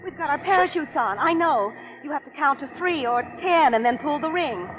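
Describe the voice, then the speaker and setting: An actress playing a troubled, earnest young wife in a 1950s radio suspense drama, with an intense manner. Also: female voice, high voice, expressive, normal speed, a crowd in the background. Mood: urgent, excited. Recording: noisy, echoey, dull old radio recording.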